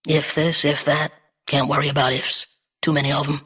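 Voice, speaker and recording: Small cautious goblin, husky, choppy phrases, kinda flat, video game character. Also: female voice, sits low, normal speed. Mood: wary, matter-of-fact. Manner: clipped, broken phrasing. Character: small, wary, pragmatic goblin.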